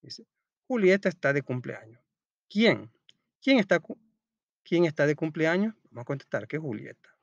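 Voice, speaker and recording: Male primary-school teacher, very lively intonation, didactic question-and-answer delivery, recorded dry and slightly dull. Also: on the high side, moderate pace, creaky, pauses often, no echo. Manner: didactic, asks questions and answers them himself. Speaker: teacher presenting a school textbook lesson. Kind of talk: read-aloud storytelling and step-by-step lesson narration.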